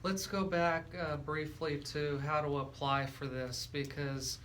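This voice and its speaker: American guy hosting radio interview, pretty flat delivery, little bit of noise behind. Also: on the high side, measured pace. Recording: faint background noise.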